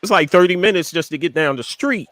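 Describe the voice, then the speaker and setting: A man's voice: high voice, animated, quick pace, fairly bright tone, dry recording. A man hosting a casual interview, laid-back, mostly giving short affirming replies. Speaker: talk show host.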